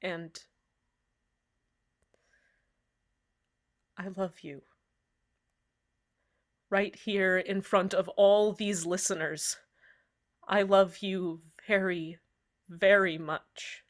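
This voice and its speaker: A woman voicing a slow, remorseful radio host. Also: mid-range voice, steady tone, lightly acted. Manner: earnest and halting, full of pauses. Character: heartbroken, apologetic community radio host. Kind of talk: fan radio drama monologue.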